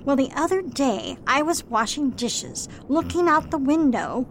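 A woman playing a suspicious, disapproving mother who nags slowly about her daughter's fiancé, in an amateur radio drama. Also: high voice, expressive, a little hiss or hum. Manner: slow, suspicious, nagging.

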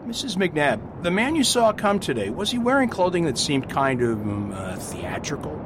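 A man playing a gentle, thoughtful priest in an amateur radio drama, speaking mildly and politely. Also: fairly high pitch, sing-song, normal speed, noisy background. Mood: calm. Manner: mild and polite.

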